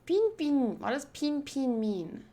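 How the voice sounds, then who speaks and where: A woman streaming a visual novel, reading it aloud deliberately with pauses and chatty asides. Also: sits high, sing-song, warm tone. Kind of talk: playthrough narration with chatty asides.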